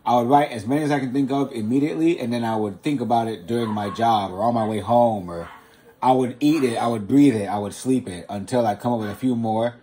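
A man's voice: mid-range voice, fast, dark tone. A man, a rapper, chatting casually on a podcast in an informal, slangy, anecdotal way. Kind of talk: casual chat.

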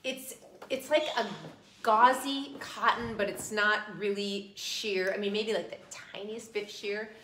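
A woman's voice: mid-range voice, fairly even intonation, a little slow, bright, forceful tone. A woman in her forties co-hosting a live shopping show from a home kitchen, bubbly and gushing as she shows off clothes. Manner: bubbly and gushing, laughs easily. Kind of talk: casual live-stream chat and fashion pitch. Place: large home kitchen, indoors. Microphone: camera mic at a distance. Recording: echoey kitchen, faint background noise.

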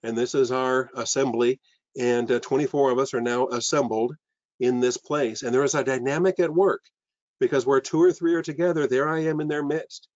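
A man's voice: mid-range voice, fairly even intonation, moderate pace, a little mellow, no room sound. An older man, a pastor, teaching a sermon over a video call from a room with bookshelves, earnest and full of fillers and restarts. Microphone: webcam on a video call. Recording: dull lo-fi video call audio.

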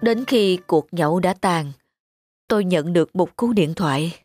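Slow, sorrowful elderly widower with music behind. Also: male voice, sits high, darkish tone. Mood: regretful, grieving. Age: older. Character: regretful elderly widower in a cafe. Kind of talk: animated moral drama dubbing.

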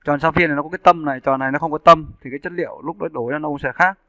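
A man with a clear, bright voice pitched a little high, teaching in a casual tutorial style. He explains each step informally and often checks that his listeners are following. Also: fairly even intonation, rapid-fire, no echo. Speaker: 3D animation instructor. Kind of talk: casual software tutorial narration. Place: indoors, screen-recording voice-over.

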